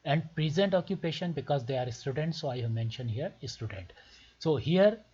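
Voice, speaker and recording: A man from Pakistan, a native Urdu speaker with a Pakistani accent, explains step by step as a tutorial presenter, deliberately and in short, repeated phrases. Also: on the high side, lively intonation, darkish tone, slight background noise. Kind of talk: step-by-step instructional screen tutorial. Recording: muffled lo-fi voice recording.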